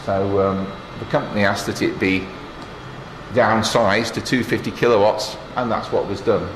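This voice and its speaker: Male tour guide, mature, low pitch, plain explanatory delivery, echoey room with light noise. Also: fairly even intonation, normal speed. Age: middle-aged or older. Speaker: station engineer giving a guided tour. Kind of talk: informal technical tour narration. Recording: roomy echo with faint background noise.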